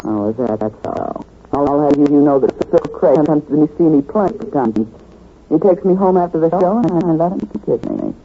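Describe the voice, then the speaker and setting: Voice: medium pitch, lively intonation, a little fast, mellow, lightly acted. A performer acting a coy, boastful nightclub singer in an old radio drama. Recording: dull, hissy old radio recording.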